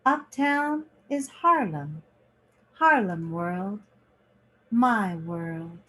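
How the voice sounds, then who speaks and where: A woman reading a children's picture book aloud in short, deliberate phrases, like a teacher. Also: medium pitch, lively intonation, pushed and bright, poor audio.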